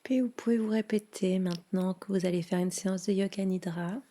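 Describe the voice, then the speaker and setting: A woman leading a guided yoga nidra relaxation in a soothing, hushed manner with long pauses. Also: medium pitch, fairly even intonation.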